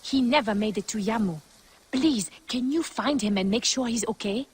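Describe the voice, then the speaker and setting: Husky worried townswoman. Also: a little high, normal speed. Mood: worried, pleading. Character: worried townswoman pleading with a protector for help. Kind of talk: video game character acting.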